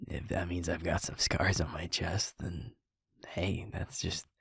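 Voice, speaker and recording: A man playing a sweet, shaken young werewolf boyfriend in an intimate audio roleplay, halting and stammering, with a breathy, husky voice. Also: deep voice, flat delivery, no room sound, heavily acted. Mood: sheepish, reassuring. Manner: halting, hushed, stammering, sighing.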